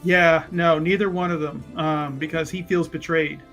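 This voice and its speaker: A man's voice: on the high side, fairly even intonation, normal speed, over music. A man talking casually as a player in a tabletop roleplaying game, describing what his character does.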